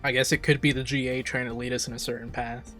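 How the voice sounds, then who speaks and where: A male streamer reacts live to a game's story. He talks informally at a middling pitch, thinking out loud and speculating, while faint music plays behind him. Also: flat delivery, fast. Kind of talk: casual live reaction commentary.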